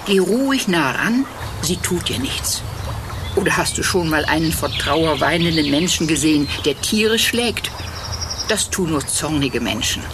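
A woman voicing a wise, dignified old female owl countess in an audio story, calm and gently teasing. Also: sits low, measured pace, noisy background. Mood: encouraging.

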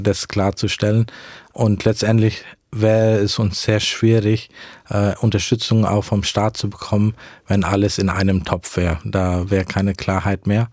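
A man's voice: on the low side, a little mellow, no room sound, studio quality. A young man whose first language is English, answering interview questions about mission work in careful, hesitant speech. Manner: earnest, measured, with frequent hesitations. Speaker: mission worker.

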